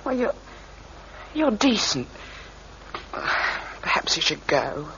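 A woman's voice: fairly low pitch, sing-song, slow, creaky, background noise, heavily acted. An actress playing a tired but kindly young woman of the streets in an old radio crime drama, earnest, on a muffled recording. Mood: tender, flustered. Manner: earnest, plain-spoken.

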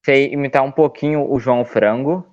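A man's voice: mid-range voice, moderate pace. Gaúcho man, dull lo-fi sound. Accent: Brazilian gaúcho. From Rio Grande do Sul, Brazil. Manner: slangy, joking. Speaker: podcast participant. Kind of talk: casual podcast banter.

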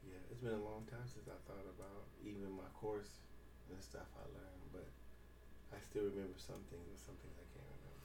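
A man's voice: low voice, flat delivery, brisk, creaky. A man in his late forties chatting casually as a podcast co-host, teasing and blunt with slangy, profane banter, recorded off the mic in a noisy room. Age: late 40s. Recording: roomy, distant mic with background noise.

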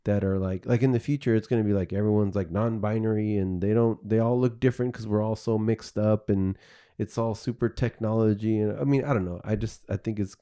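Male podcast host, low mellow voice, rambling casual talk. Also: fairly even intonation, brisk, clear, resonant tone. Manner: rambling, thinks aloud with restarts.

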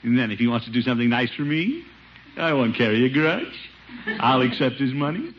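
An actor playing a blustering, scheming but good-hearted middle-aged uncle in a theatrical 1950s radio comedy style. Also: male voice, medium pitch, very animated, a little fast, a crowd in the background, lightly acted. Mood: scheming, neighborly. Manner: blustery, theatrical, self-important. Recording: muffled, noisy vintage radio recording.

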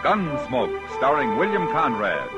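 A man's voice: mid-range voice, big pitch swings, a little slow, highs cut off. A man announcing an old-time radio western in a dramatic scripted style. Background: loud music.

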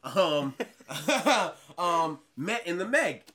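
Light-voiced animated young man. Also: drawn-out words, a crowd in the background. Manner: animated, excitable rises in pitch and loudness. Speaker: actor guest. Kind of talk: casual chat.

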